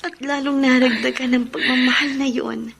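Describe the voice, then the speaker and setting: A woman's voice: high-pitched, fairly even intonation, normal speed, gravelly, fairly bright tone, slightly rough, full character voice. A woman playing a young adopted daughter in a Filipino radio drama, speaking in a heartfelt, emotional way. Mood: tender. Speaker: Odessa, the daughter.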